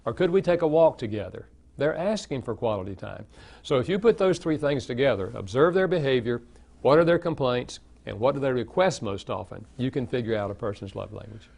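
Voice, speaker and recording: Older man, southern american accent, mid-pitched voice, calm explanatory interview talk. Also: a little fast, creaky. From Southern United States. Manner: steady, explanatory. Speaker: author and guest. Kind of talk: interview conversation.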